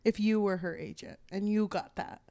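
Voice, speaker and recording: A woman's voice: mid-range voice, fairly even intonation, moderate pace, dark tone. A woman co-hosting a book podcast in casual conversation, joking and swearing freely. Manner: irreverent, swears freely, jokey. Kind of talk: casual book discussion chat.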